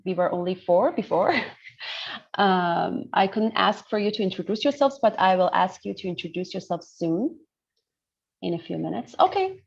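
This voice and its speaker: A woman's voice: mid-range voice, gravelly, a little mellow. A woman with an Iranian accent teaching an interactive online medical class, explaining and often checking that listeners follow. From northern Iran. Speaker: lecturer, pediatrician. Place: indoors on a video call. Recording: muffled video call audio.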